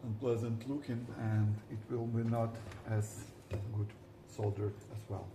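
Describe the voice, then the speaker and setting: A man with a Ukrainian accent, whose first language is Ukrainian, narrating a soldering tutorial and pausing to search for words while he works. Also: fairly low pitch, fairly even intonation, echoey room, distant mic, very noisy. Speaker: DIY builder.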